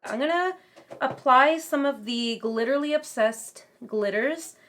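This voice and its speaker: A young woman with a slightly raspy voice, chatting casually as she tries on and reviews makeup at home. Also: sits high, measured pace, a bit bright, faint music behind. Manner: chatty, casual commentary while applying makeup. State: raspy voice from talking too much. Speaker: beauty vlogger. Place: home beauty room, indoors.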